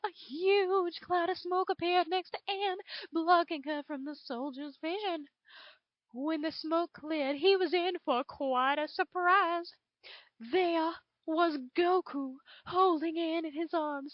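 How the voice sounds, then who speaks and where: A woman's voice: extremely high pitch, slow pace, no room sound, muffled recording. A woman voicing a drawling southern dragon. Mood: dramatic. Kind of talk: reading fan fiction aloud.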